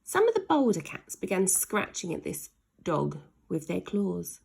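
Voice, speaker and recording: A woman's voice: lowish voice, wildly swooping pitch, unhurried, vocal fry, darkish tone, husky. A woman narrating a children's story aloud in a dramatic way, leaning hard on sound words. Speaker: storybook reader.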